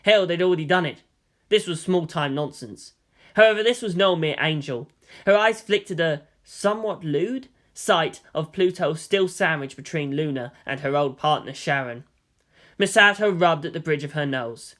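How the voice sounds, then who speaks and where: A man's voice: a little high, moderate pace, a bit bright. A man reading a story aloud as dramatic scripted narration.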